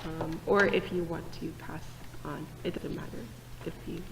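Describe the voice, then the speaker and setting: A woman hosting a casual panel discussion in a church meeting room, talking in a relaxed, hesitant way with many fillers. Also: low voice, moderate pace, gravelly, big-room sound, music in the background, rough recording. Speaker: host.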